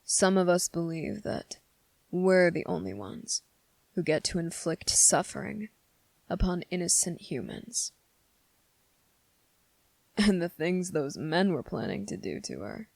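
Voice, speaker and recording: Someone acting out a brooding demon for asmr roleplay, gravelly and taunting, really slow, super close dry mic. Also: medium pitch, very animated, a little mellow, no room sound, exaggerated voice. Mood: grave, warning. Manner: slow, deliberate, taunting, with dramatic pauses. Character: brooding menacing demon with a hidden moral code. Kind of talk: intimate ASMR roleplay monologue. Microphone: close, dry microphone.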